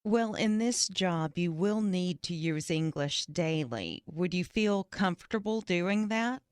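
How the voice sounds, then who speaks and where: A woman playing an interviewer in a scripted practice interview for English learners, reading questions clearly. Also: on the low side, lively intonation, slow, no echo, studio quality.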